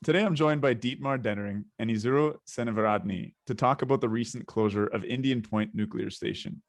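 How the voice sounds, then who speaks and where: A Canadian man hosting a podcast interview, talking in a relaxed way with hesitant fillers. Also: mid-range voice, brisk, dry recording, studio quality. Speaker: podcast host.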